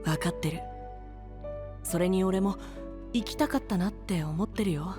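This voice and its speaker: A gentle, androgynous boyish voice, performed by a man, composed and tender over background music. He sounds like a considerate young knight in an anime audio drama. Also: high-pitched, normal speed, natural voice. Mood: tender, understanding. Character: gentle, soft-spoken boy knight, calm, considerate elder twin type. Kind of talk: anime-style voice drama acting.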